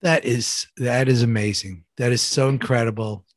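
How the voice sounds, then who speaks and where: A man hosting a conversational interview, effusive and halting as he rephrases his questions. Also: medium pitch, steady tone, dry recording, clean studio sound. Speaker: show host.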